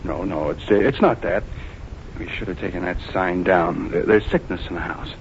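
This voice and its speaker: An actor playing a polite, calmly threatening fugitive killer in a 1940s radio drama. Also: male voice, low-pitched, normal speed, close to an everyday voice. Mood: guarded politeness. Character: soft-spoken, courteous, menacing fugitive male killer. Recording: muffled, noisy 1940s radio recording.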